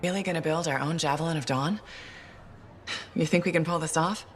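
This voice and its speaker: A woman's voice: deep voice, fairly quick, lightly acted. An actress playing a capable female mercenary pilot in a video game, wry and dryly skeptical. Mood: questioning. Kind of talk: video game cutscene acting. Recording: faint background music.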